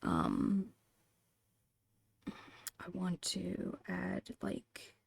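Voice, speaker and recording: A woman softly narrating her digital art in an ASMR style, murmuring in short, breathy phrases close to the microphone. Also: lowish voice, very animated, drawn-out words, dry recording. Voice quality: breathy, whispery. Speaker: digital artist hosting an art channel.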